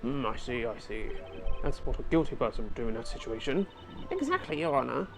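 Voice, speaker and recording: A woman's voice: extremely low pitch, very animated, a little fast, faint music behind, pitched below the performer's own voice, heavily acted. A woman voicing a smug, dismissive male prosecutor in a fan-dubbed game.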